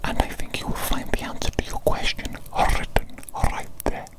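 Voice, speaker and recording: A woman's voice: moderate pace, lightly acted. Woman playing a whispering, pompous evil doctor. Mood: exasperated, irritated. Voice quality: breathy unvoiced whisper. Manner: pompous, fussy, repeats phrases emphatically. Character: pompous, exasperated evil doctor, self-proclaimed mad scientist genius. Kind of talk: whispered ASMR roleplay.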